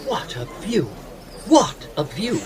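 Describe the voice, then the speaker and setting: An actor playing a well-bred, wry gentleman traveller in a period audio drama, speaking formally and articulately. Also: high-pitched, sing-song, slow pace, noisy background, heavily acted. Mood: enthusiastic.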